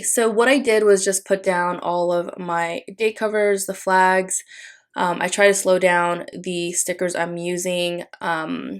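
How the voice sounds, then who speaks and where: A young woman from the Philippines, Tagalog as her first language, with a voice sitting a little low. She talks in a relaxed, rambling way, full of fillers and restarts, as a home voice-over for her planner video. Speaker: planner vlogger. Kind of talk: casual voice-over while decorating a planner.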